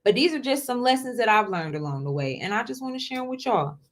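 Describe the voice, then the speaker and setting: A woman's voice: fairly high pitch, sing-song, fast. Chatty woman in her twenties hosting. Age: 20s. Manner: chatty, repeats words, addresses audience as y'all, asks okay?. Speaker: host. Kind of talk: casual solo talk to viewers.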